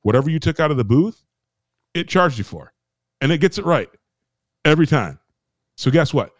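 A man's voice: fairly high pitch, animated, normal speed, no echo, studio quality. An American man co-hosting a podcast, ranting in casual chat, emphatic and repetitive, with restarts and swearing. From United States. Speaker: podcast co-host.

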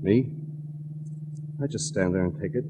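A man's voice: low-pitched, unhurried. An actor playing a bitter, resigned secretary in his thirties in a 1940s radio drama, speaking sardonically on a noisy old recording. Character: bitter, put-upon male secretary, thirty-three, resigned.

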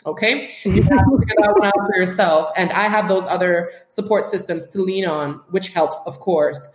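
Frank, animated woman in an echoey room. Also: fairly low pitch, moderate pace, a little hiss or hum. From Trinidad and Tobago, raised in the US. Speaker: guest, writer. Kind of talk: casual podcast conversation. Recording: roomy echo.

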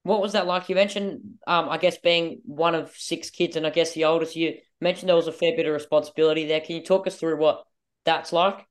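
A man's voice: on the high side, steady tone, a little fast. A man hosting an interview podcast, asking eager, upbeat questions. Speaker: podcast host.

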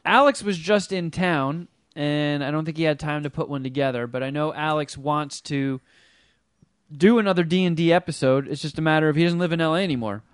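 A man co-hosting a podcast in casual chat, wry and deadpan, with some swearing. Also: fairly high pitch, brisk, clean tone, no echo, clean studio sound. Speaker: podcast co-host, screenwriter.

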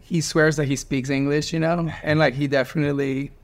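A man in his forties from Venezuela with a Venezuelan accent and Spanish as his first language, hosting a conversation and telling animated stories with laughs. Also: a little high, fairly quick. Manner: animated storyteller, laughs while talking. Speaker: host. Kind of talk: casual panel conversation.

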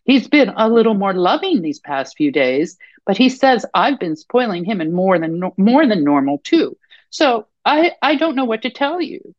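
A woman's voice: medium pitch, sing-song, normal speed, no echo, dull audio, pitched above the performer's own voice. A woman voicing a young wife who answers cautiously and defensively while protecting her husband.

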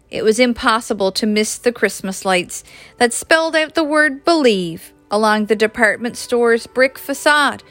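A woman's voice: a little high, a bit bright, no room sound. A woman from Canada, reading scripted story narration aloud. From Newfoundland, Canada. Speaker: author reading own chapter aloud.

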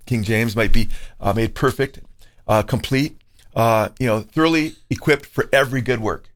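A man in a relaxed talk-show conversation who keeps pulling in verses and the occasional Greek term. His voice sits at an ordinary middle pitch, and he talks in a loose, conversational way. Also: moderate pace. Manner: conversational, quotes scripture with Greek terms. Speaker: co-host, pastor. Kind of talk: casual discussion. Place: California.

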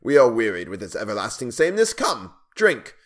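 A man's voice: mid-range voice, huge pitch swings, a little fast. A man voicing a cruel, imperious storybook king, a hearty bully given to roaring and impatience. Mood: domineering, jovial. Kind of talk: storybook narration character voice.

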